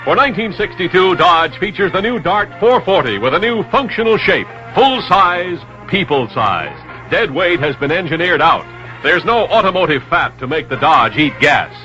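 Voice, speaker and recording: A man's voice: a little high, big pitch swings, moderate pace, bright, forceful tone, faint music behind. A man reading a scripted 1960s radio car commercial with an emphatic, punchy sales delivery. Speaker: commercial announcer. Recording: dull vintage radio recording.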